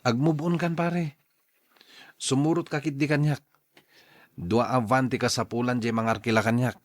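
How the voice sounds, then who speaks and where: A man's voice: mid-range voice, fairly even intonation, moderate pace, no echo, clean studio sound, natural voice. A man with an Ilocano accent acting a blunt, scolding male friend in a radio drama, emphatic and exhorting.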